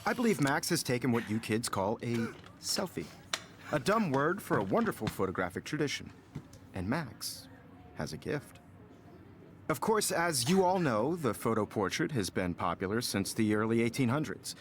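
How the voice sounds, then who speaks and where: A man acts out a self-assured academy teacher in a story game. His lecturing is articulate and wry, with a teasing edge and highly animated pitch at a medium height. There is noise in the background. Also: normal speed, natural voice. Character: confident, teasing male photography teacher at an academy. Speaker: actor voicing a video game teacher. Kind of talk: classroom lecture in a narrative video game.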